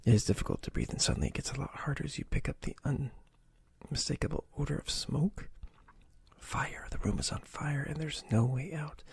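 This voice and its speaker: A man reading an adventure gamebook aloud in a soft, breathy ASMR whisper, stopping to choose pages. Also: medium pitch, big pitch swings, normal speed. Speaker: reader of a choose-your-own-adventure book.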